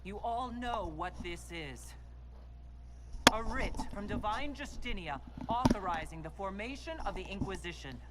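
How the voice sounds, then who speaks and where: A woman's voice: medium pitch, close to an everyday voice. Woman acting solemn fantasy commander lady in a game, resolute speech, music under it. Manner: solemn, declarative. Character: resolute female leader of a fantasy military order. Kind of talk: dramatic video game acting. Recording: game audio with music behind.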